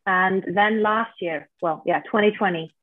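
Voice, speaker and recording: A woman in her thirties with an Icelandic accent talks easily as a podcast guest. She tells her story in a relaxed, plainspoken way. Her voice comes through a dry, tinny, phone-like line. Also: medium pitch, measured pace, no room sound. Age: 30s. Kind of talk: casual interview chat.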